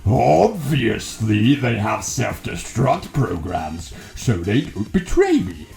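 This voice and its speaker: A gloating cartoon mad scientist with a heavily gravelly voice that swoops wildly from growls to shouts. He is theatrical and bombastic, echoing over music. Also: mid-range voice, wildly swooping pitch, takes it slowly, heavily acted. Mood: boastful, scornful. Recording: echoing voice under loud music.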